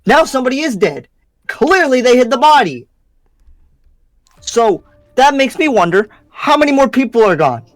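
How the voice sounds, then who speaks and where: A performer playing a stern, suspicious demon slayer in an improvised anime roleplay, making pointed accusations with dramatic pauses. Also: high-pitched, big pitch swings, dry recording. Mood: stern, accusing.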